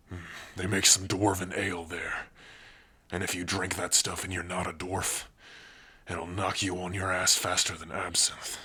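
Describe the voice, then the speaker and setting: Gentle orc sweetheart, played by a man for ASMR roleplay: very low, unhurried, soft-spoken, gruff but shy and smitten. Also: full character voice. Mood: relaxed, weary. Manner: soft-spoken, hesitant, with pauses and hums. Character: gruff but tender young male orc, shyly smitten. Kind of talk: ASMR roleplay addressed to the listener.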